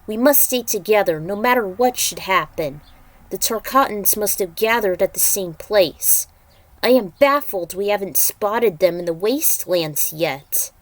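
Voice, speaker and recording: Woman reading as stern, slow-spoken warrior. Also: medium pitch, expressive, no echo, close to an everyday voice. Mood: grave, resigned. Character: stern, weary male warrior, cautious team leader.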